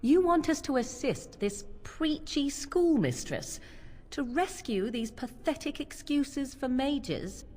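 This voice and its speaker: A haughty young witch for a fantasy game, scornful and disdainful. Her high voice swoops in slow, drawling phrases, ringing in a long hall reverb. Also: very animated, a little mellow, faint music behind, heavily acted. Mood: contemptuous. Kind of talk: video game voice acting.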